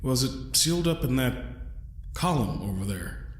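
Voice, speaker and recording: A man's voice: mid-range voice, animated, moderate pace, reverberant, slight background noise, close to an everyday voice. A man playing a trapped adventurer in an audio drama, folksy, polite and wry, with an understated, hesitant manner. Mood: wry, apologetic.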